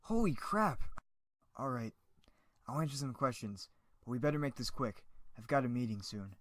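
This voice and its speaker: A man's voice: medium pitch, lively intonation, normal speed, slight background noise, natural voice. A man playing a vain, evasive, theatrical movie actor in the style of a 1940s radio drama. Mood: startled, impatient.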